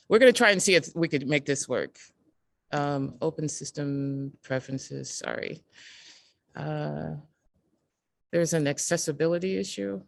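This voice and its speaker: Woman hosting, halting and dry-sounding. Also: low voice, dry recording. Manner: halting, apologetic. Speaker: event host. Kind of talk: casual live hosting with technical troubleshooting. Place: community center, on a group video call. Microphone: computer video call.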